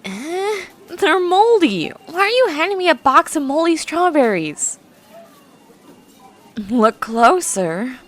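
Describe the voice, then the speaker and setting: A woman's voice: high voice, sing-song, slow, heavily acted. A woman voicing a grumpy, obsessive young horse-girl scientist in an ASMR roleplay, talking in a precise, scholarly, bossy way. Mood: grumpy, puzzled.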